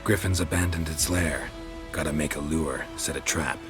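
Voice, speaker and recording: Stoic monster hunter, extremely low voice, clipped flat lines, game music behind. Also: male voice, normal speed. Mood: terse. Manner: terse, clipped, flat delivery. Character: gruff male monster hunter, terse and unflappable. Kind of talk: video game voice acting.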